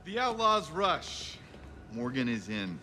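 An actor playing a smug, sly male casino boss in a video game, mocking and unhurried. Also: a little high, big pitch swings, sharp tone, a little hiss or hum, exaggerated voice.

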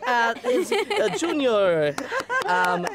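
A man putting on a goofy mocking impression of an odd schoolboy with halting, broken speech. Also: extremely high pitch, wildly swooping pitch, a loud crowd, pitched above the performer's own voice, full character voice.

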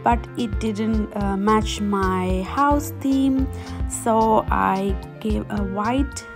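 A woman's voice: medium pitch, fairly even intonation, very slow. A woman in her thirties, a DIY vlogger, talking in a friendly, chatty way with hesitant fillers, filmed at home by a camera in front of her. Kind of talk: casual vlog narration. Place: home, indoors, with a toddler on her lap. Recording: music playing behind her voice. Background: background music.

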